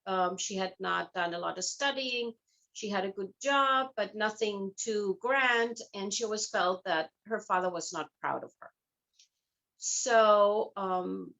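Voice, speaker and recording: A woman's voice: a little high, fairly bright tone, rough recording. A woman speaking as an interview guest in a chatty, conversational way, explaining things through personal anecdotes. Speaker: guest, hypnotherapist and life coach.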